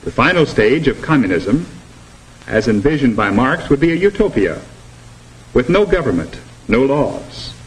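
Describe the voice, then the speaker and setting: An actor with an American accent playing an earnest, didactic instructor in a 1950s educational propaganda film. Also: male voice, mid-range voice, big pitch swings. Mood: stern, didactic. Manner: didactic, measured. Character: earnest male anti-communist instructor lecturing students. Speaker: instructor presenting a lesson. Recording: noisy old 1950s film soundtrack.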